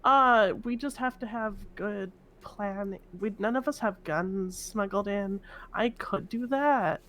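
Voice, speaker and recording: Woman doing a female inmate plotting in online rp, mid pitched, matter of fact, thinks out loud. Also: slow. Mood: scheming. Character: female prison inmate scheming an escape in online roleplay. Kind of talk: improvised in-character roleplay chat.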